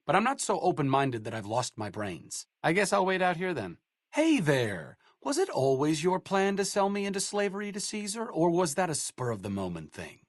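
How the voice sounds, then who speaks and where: A man's voice: fairly high pitch, no room sound. An actor playing a bookish, dry-witted male doctor, speaking with sarcastic, literate quips in video game dialogue.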